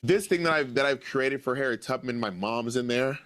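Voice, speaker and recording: A man's voice: medium pitch, moderate pace, fairly bright tone. Earnest American man in his thirties. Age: 30s. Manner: earnest, musing, repeats phrases for emphasis. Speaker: author guest. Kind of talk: reflective interview answer.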